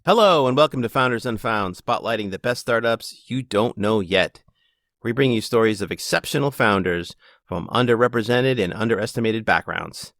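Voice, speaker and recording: Male podcast host, low-pitched, upbeat questions, dry booth sound. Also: expressive, normal speed, fairly bright tone, no echo. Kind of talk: podcast interview.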